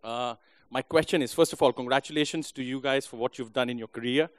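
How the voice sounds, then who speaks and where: A man from India with an Indian accent asks a question from the audience. He speaks haltingly with fillers and restarts, and his voice sounds close and dry, with no room echo. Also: medium pitch. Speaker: audience member asking a question.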